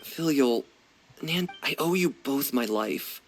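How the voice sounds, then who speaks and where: A man's voice: medium pitch, expressive, slow, soft background music. A man voicing a solemn, restrained young man bound by rules in a video game. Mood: regretful.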